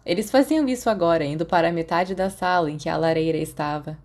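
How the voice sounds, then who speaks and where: A woman's voice: lowish voice, quick pace, darkish tone. A woman with a Brazilian accent reading a scripted story as an audiobook narrator. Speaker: audiobook narrator of fan fiction.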